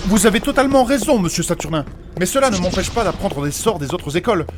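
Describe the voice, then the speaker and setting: A man acting as an earnest, bookish mage from a fantasy audio drama. His voice sits fairly high, his wording is formal and exact, and he explains like a courteous pedant over clearly audible music. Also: lively intonation, fast talker. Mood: earnest, scholarly. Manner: formal, precise, explanatory, with elevated vocabulary. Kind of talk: French humorous fantasy audio fiction acting. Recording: music behind the voice.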